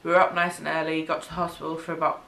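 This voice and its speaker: A young woman from the UK, chatting casually in a home vlog, sniffly from a cold, with frequent ums and soft laughs. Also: deep voice, level tone, racing, creaky. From United Kingdom. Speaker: vlogger. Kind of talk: casual pregnancy update vlog. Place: small bedroom or home studio, indoors. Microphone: camera mic, head-and-shoulders framing. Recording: echoey room.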